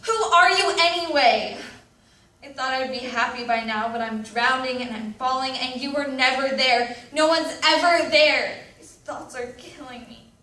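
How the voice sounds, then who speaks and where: A woman's voice: high voice, a little slow, bright, forceful tone. A woman acting an anxious, self-loathing teenager in a dramatic readers theatre monologue. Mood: bitter, despairing. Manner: dramatic, emphatic delivery. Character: anguished, anxious, self-loathing teenager writing confessional letters. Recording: roomy, distant mic with background noise.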